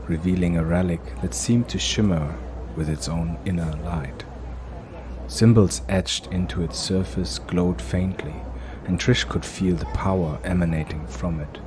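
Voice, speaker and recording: A man narrates a dark fantasy audio drama with a very low voice and an unhurried, measured delivery. A steady hiss sits under the recording.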